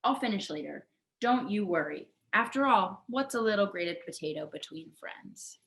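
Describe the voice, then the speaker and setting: A woman's voice: mid-range voice, expressive, normal speed, fairly bright tone, a little hiss or hum. A woman voicing a kindly, patient older woman neighbour from a children's storybook, with homely, reassuring manner. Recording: echoey room.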